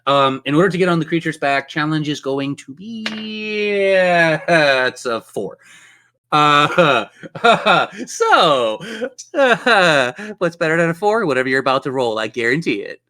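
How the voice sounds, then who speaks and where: A man's voice: fairly high pitch, very animated, slow pace, pushed and bright. A man improvising dramatic, descriptive narration as game master of a tabletop roleplaying game.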